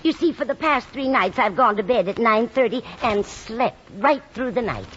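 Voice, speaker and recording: Dotty landlady, high lilting voice. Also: expressive, normal speed, fairly bright tone. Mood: earnest, chatty. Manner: earnest, scatterbrained. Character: dotty, kindly, chatty older landlady. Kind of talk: old-time radio sitcom acting. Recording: dull, faintly noisy vintage radio recording.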